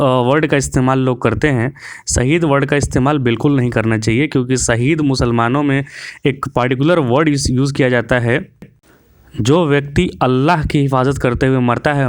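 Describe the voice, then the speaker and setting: A man's voice: mid-range voice, dry recording, studio quality. A man delivering an opinionated monologue as a video commentator, preachy and mixing in English words.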